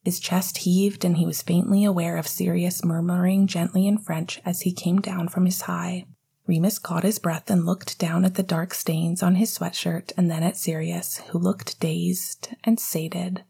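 A woman narrating a story in a soft, intimate whisper. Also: on the low side, flat delivery, unhurried, creaky, slightly rough. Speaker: audiobook narrator.

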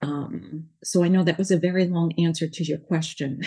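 A woman's voice: low-pitched, fairly even intonation, moderate pace, dark tone, slightly rough. A woman in her forties with a New York accent, talking earnestly in an interview as a life coach, rambling and restarting her sentences. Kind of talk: casual interview talk.

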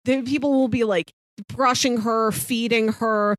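A woman's voice: a little high, steady tone, a little slow, no echo, studio quality. A woman co-hosting a casual podcast discussion of a TV show, talking in an enthusiastic, appreciative way. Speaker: podcast co-host.

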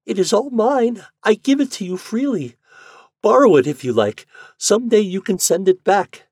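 A man voicing a young peasant girl, pleading high and urgent in an audiobook. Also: very animated, normal speed, a little mellow, breathy edge, no echo, clean studio sound, heavily acted.

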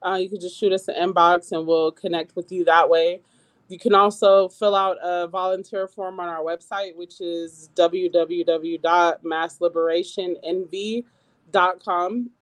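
A woman guest on a radio talk show with a squeaky voice, speaking earnestly as a community organizer, with many fillers and restarts. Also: fairly even intonation, a little slow. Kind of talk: radio interview.

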